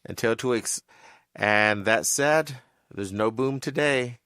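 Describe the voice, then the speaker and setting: Bright-voiced chatty male podcast co-host. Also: mid-range voice, slow, smooth, no echo, clean studio sound. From Mexican-American family. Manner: explains carefully, chatty. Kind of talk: casual chat.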